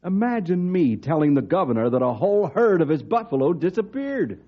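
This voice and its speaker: An actor playing a gruff, worried senior forest service colonel with a stern, blustering manner in an old-time radio drama. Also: male voice, on the high side, sing-song, brisk. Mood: exasperated. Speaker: commanding officer. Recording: muffled old radio recording.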